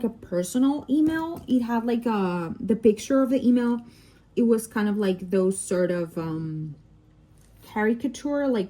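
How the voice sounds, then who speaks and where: The speaker is a woman from Mexico with a Mexican accent and Spanish as her native language. Her voice is mellow and sits at an average pitch. She tells stories casually, with animated emphasis, and often breaks off to correct or interrupt herself. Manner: animated, digressive, self-interrupting. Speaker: vlogger and crafter, telling work anecdotes. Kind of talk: casual chatty storytelling.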